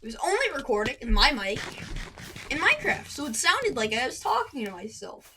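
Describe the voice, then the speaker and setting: A woman's voice: high-pitched, very animated, moderate pace, noisy background. Rambling gamer woman over clicking keys. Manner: rambling, chatty, breaks off to react to the game. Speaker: gaming commentator. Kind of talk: casual storytelling over live gameplay. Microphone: desk microphone at a computer. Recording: keyboard and mouse clicks throughout.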